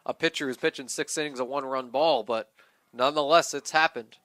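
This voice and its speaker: A man's voice: medium pitch, steady tone, brisk, a bit bright, dry recording. A man giving conversational, analytical color commentary on a televised baseball game. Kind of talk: live TV sports analysis.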